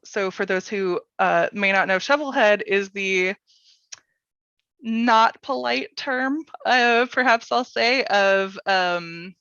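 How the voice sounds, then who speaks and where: A woman's voice: medium pitch, takes it slowly, a bit bright, clean tone, dry recording. A woman hosting a casual storytelling workshop, playful and enthusiastic, on a close but dull-sounding microphone. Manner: playful, joking, enthusiastic about the material. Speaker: workshop host and presenter.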